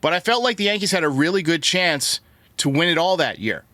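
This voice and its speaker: A man's voice: a little high, very animated, a little fast, sharp tone, no room sound. A man hosting a sports show, giving conversational baseball commentary to his listeners. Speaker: sports podcast host.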